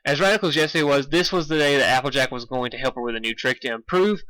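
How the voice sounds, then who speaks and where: Bright-voiced young man reading fan fiction. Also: mid-range voice, fast, dry recording, rough recording. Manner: informal, stumbles over words and self-corrects. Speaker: amateur fan fiction reader. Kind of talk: story narration with casual asides.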